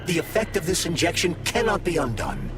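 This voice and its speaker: An actor playing a knowing dead scientist whose mind lives in a talking glove, explaining in a measured, articulate way in a video game. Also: male voice, fairly high pitch, huge pitch swings, background noise. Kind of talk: video game character acting. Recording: music behind the voice.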